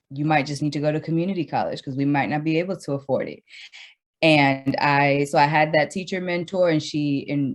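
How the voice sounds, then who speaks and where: A woman in her thirties, an educator from the Dominican Republic raised in Brooklyn, talks through her story in a radio interview with a lot of fillers, sometimes speeding up and sometimes slowing down. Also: low-pitched. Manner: conversational storytelling with many fillers and restarts, speeds up and slows down. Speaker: educator, guest.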